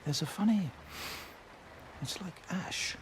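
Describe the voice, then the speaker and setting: Measured village doctor. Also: male voice, sits high, slight background noise. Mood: puzzled, measured. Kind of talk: naturalistic video game voice acting.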